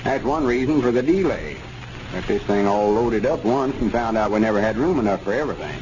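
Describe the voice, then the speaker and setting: A man playing Lum, a self-important old rural storekeeper with a slow, deliberate country drawl, in a 1930s radio comedy. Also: lowish voice, expressive, a little mellow. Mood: matter-of-fact. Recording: noisy, dull 1930s radio transcription.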